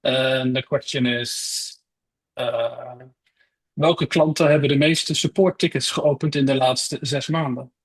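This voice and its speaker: A man from the Netherlands whose first language is Dutch, a software engineer speaking in a matter-of-fact way on a call. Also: mid-range voice, fairly even intonation, a little slow, slightly rough, rough recording. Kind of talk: casual chat on video call.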